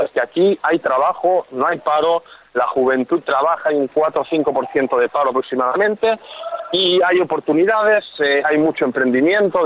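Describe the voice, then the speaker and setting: A man from Catalonia with a Spain Spanish accent, a freelance journalist calmly explaining events as a radio interview guest, pausing often to search for words. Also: a little high, phone-quality sound, a little hiss or hum. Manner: measured, explanatory, frequent hesitations.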